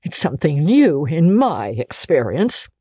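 A woman's voice: sits low, big pitch swings, a little slow, dark tone, husky, no echo, clean studio sound, full character voice. A woman voicing an offended, outspoken elderly village busybody with blunt, haughty indignation, in a dramatic storybook reading. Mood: huffy indignation.

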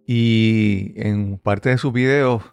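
A man's voice: on the low side, steady tone, drawn-out words, creaky, clear, resonant tone, dry recording. A man hosting a podcast interview, talking conversationally with frequent fillers and restarts. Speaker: podcast host.

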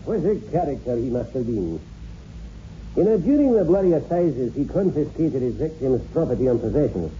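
An actor playing an urbane country doctor in a 1960s radio horror play, polite, measured and a little condescending. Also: male voice, mid-range voice, big pitch swings, dark tone, lightly acted. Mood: musing. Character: urbane, speculative male village doctor, a bachelor. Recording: muffled, faintly noisy old radio recording.

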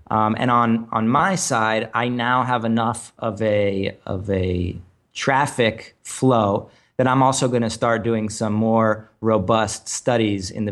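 A man co-hosting a casual podcast, explaining tools and data in an analytical way with many ums and restarts. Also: on the low side. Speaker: podcast co-host. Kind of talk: casual chat.

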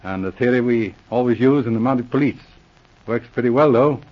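Guy doing a calm no-nonsense mountie sergeant, dry teasing, husky voice, dull old radio sound. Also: mid-range voice, normal speed, close to an everyday voice. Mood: dry, patient. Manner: patient, dryly teasing. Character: methodical, dry-witted male Mountie sergeant. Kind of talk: 1940s radio acting.

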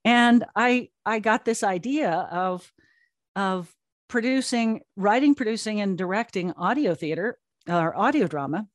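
A woman in her sixties talks as a guest in a relaxed interview. Her delivery is chatty and animated, with an ordinary mid-range pitch. The sound is dry, with very little room in it. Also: measured pace, dry recording. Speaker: guest, audio drama producer and creative officer. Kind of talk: conversational interview.

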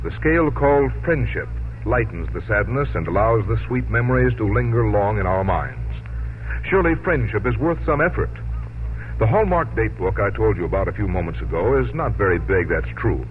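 A man's voice: fairly low pitch, slight background noise. A man reading scripted announcements and sponsor messages as a 1950s radio announcer. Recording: tinny vintage radio, loud music behind.

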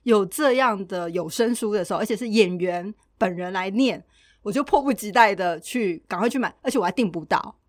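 A woman's voice: medium pitch, fairly quick, no echo, clean studio sound. A woman with a Taiwanese Mandarin accent chatting casually and expressively as a podcast host.

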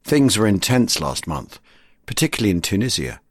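A man presenting a history podcast, reading scripted narration in a husky voice. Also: lowish voice, sing-song, normal speed, no echo, studio quality.

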